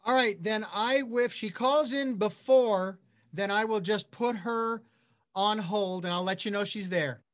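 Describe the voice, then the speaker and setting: A man's voice: high voice, unhurried, fairly bright tone. A man working as a radio producer, talking informally and jokily off air. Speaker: radio station producer and engineer. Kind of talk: casual off-air chat.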